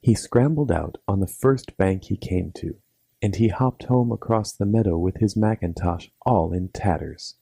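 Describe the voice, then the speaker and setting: Male storyteller for children's bedtime tales: low, gravelly, scripted narration, recorded dry. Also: moderate pace, warm tone, dry recording.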